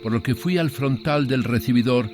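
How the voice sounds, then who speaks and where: A man narrating a scripted horror story in a measured, literary storytelling manner. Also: mid-range voice. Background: background music.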